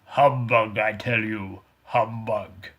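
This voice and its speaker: A man's voice: fairly low pitch, fairly even intonation, slow pace, a bit bright. A man voicing a frightened, stubborn miser who trembles and pleads with a ghost. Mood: scoffing.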